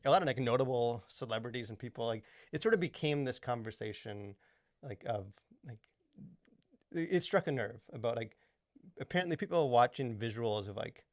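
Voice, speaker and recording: A man hosting a casual podcast discussion, talking in a halting, rambling way full of filler words. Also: medium pitch, lively intonation, dry recording, studio quality. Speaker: podcast host.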